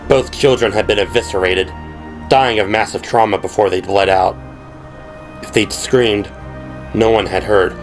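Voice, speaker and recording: A man reading scripted dramatic narration as an audiobook narrator, in a measured, descriptive storytelling manner. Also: lowish voice, steady tone. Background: prominent music.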